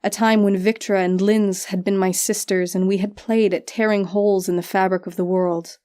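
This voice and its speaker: Female audiobook narrator for literary fantasy; mid-pitched, dark, soft-toned delivery in the first person. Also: level tone, normal speed.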